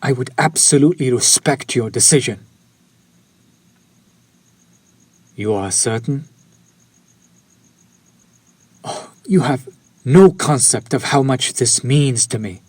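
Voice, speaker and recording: A man's voice: mid-range voice, lively intonation, moderate pace, darkish tone, slightly rough, no echo. A man playing a courtly, centuries-old vampire in an intimate audio roleplay, speaking to the listener with formal, old-fashioned politeness. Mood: gentle, concerned.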